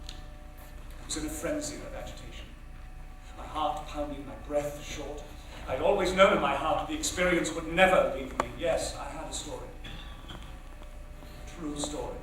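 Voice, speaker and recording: An actor playing a brisk, commanding young theatre man, declaiming dramatically on stage. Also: fairly high pitch, lightly acted. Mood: haunted, dramatic. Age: young adult. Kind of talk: theatrical stage acting, projected storytelling. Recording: echoey theatre, far mic, faint noise.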